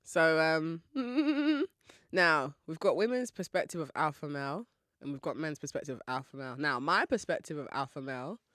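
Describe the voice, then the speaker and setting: A woman's voice: low-pitched, fairly bright tone, dry recording, studio quality. A young woman from hosting a solo podcast in a chatty, playful way, often laughing and asking listeners questions. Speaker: podcast host.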